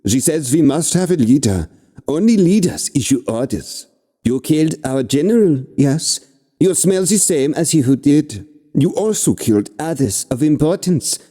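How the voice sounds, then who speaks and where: A chittering insect-headed demon, put on by a man, speaking in a German accent in short, clipped phrases. The voice is lively, higher than the man's own and pushed louder. A long reverb trails behind each phrase. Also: normal speed, breathy edge. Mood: probing.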